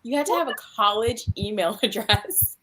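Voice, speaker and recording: A woman's voice: medium pitch, normal speed, fairly bright tone, slight background noise. A woman in her thirties chatting casually in a joking, self-deprecating way. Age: 30s. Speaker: stream host. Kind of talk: casual group chat.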